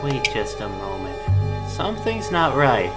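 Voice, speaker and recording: A man's voice: mid-range voice, very animated, slow pace, over loud music. A man playing an obedient henchman robber in an audio drama. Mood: dutiful, muttering.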